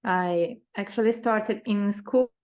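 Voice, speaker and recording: A woman's voice: medium pitch, slow pace, darkish tone. A woman with a Brazilian Portuguese accent, a native Portuguese speaker answering interview questions in careful English, searching for words as she goes. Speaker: interview guest.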